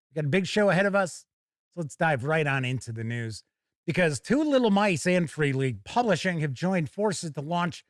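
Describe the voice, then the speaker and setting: A man's voice: on the high side, dry recording, clean studio sound. An American man hosting a live tabletop gaming news show, mixing scripted items with casual, theatrical, jokey asides. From United States.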